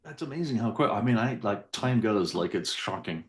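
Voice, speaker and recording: A man's voice: on the low side, lively intonation, moderate pace, echoey room. A man who is a guest on a radio interview, telling his personal story in an earnest, rambling way. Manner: earnest, rambling, with fillers and restarts.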